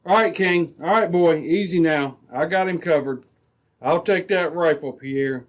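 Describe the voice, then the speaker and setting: A man's voice: on the high side, measured pace, close to an everyday voice. A man playing a firm, authoritative Mountie sergeant in an old-time radio adventure, giving clipped orders. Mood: commanding. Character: firm, commanding male Mountie sergeant, steady lawman.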